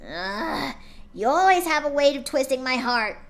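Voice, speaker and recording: A woman voicing a brash, sporty young female pegasus pony who talks loudly and energetically. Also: high-pitched, a little slow, pitched above the performer's own voice. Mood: earnest. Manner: loud and energetic.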